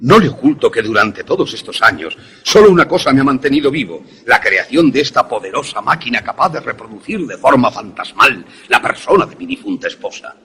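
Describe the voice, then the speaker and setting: A man playing an obsessed, grieving widowed professor in a suspense radio drama, with a husky voice and formal, measured phrasing. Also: medium pitch, big pitch swings, pushed and bright, exaggerated voice. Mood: absorbed, intense.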